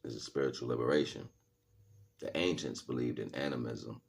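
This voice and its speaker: A man with a very low, rough-edged, husky voice holds forth on a livestream. He talks in loose slang, part chat and part preaching, and circles back over the same phrases to drive them home. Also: fairly quick. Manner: slangy, repeats phrases for emphasis. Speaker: livestream host and spiritual teacher. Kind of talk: casual livestream monologue, preaching to viewers.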